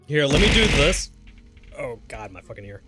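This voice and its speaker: A man live-streaming a game with casual, exclamatory commentary, reacting out loud and swearing freely. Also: medium pitch, big pitch swings, moderate pace, over loud music.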